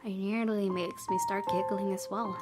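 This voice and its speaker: Expressive young woman, slightly high voice. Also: moderate pace. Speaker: let's-play host. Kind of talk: reading visual novel narration with casual reactions. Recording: voice over prominent game music.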